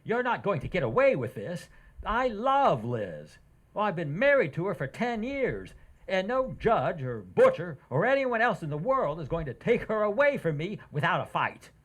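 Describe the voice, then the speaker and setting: A man playing an indignant, devoted husband in an old-time radio comedy, with a husky voice. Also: sits high, big pitch swings, moderate pace, a bit bright, heavily acted. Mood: defiant.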